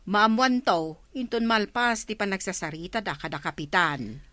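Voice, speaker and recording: A woman's voice: medium pitch, moderate pace, fairly bright tone, dry recording, close to an everyday voice. A woman playing a gossipy village woman who chattily relays news, with an Ilocano accent, in a radio comedy-drama. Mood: reporting.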